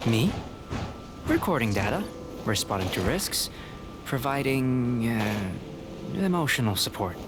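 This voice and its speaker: A man playing a calm, cerebral young alchemist in a video game, speaking in a measured, articulate, scholarly way. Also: medium pitch, music in the background. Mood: dry, unruffled.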